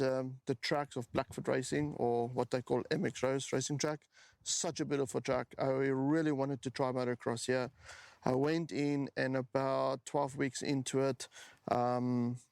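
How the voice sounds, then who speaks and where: A man's voice: mid-range voice, gravelly, a little mellow, clean tone, no echo, studio quality. A man in his forties with a South African accent, talking casually to camera as he walks through his own motorbike build. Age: 40s. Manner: chatty, with frequent ums and restarts. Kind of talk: casual walkaround talk to camera.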